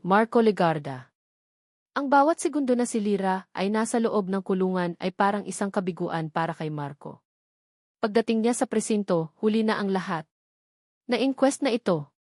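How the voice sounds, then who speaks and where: A woman with a Filipino accent reading a scripted dramatic story in a measured, emotive way, in short weighted phrases. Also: mid-range voice, darkish tone, dry recording, studio quality. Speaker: story narrator.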